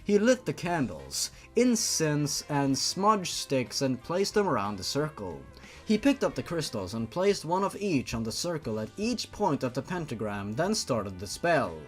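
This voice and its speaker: Animated man theatrically reading a story. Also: fairly high pitch, a little slow, faint music behind. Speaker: host reading fan fiction aloud. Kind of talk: dramatic mocking story reading.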